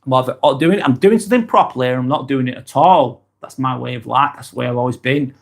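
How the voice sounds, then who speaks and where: Lancs guy on a livestream, chatty and rambly, lots of ums, regular pitch. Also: fast talker, creaky. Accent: Lancashire. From East Lancashire, England. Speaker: livestream vlogger. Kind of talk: casual livestream chat, reading out viewer comments.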